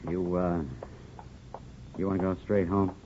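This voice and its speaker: An actor playing a smooth-talking but hesitant small-town man in a 1950s radio drama. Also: sits low, flat delivery, unhurried, warm tone. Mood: casual, probing. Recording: dull, faintly noisy old radio recording.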